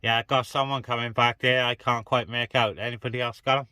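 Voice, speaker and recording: A man's voice: mid-range voice, little pitch movement, fairly quick. A man chatting casually on CB radio, hosting an open call for other stations. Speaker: CB radio operator hosting a net. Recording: very noisy CB radio hiss and static.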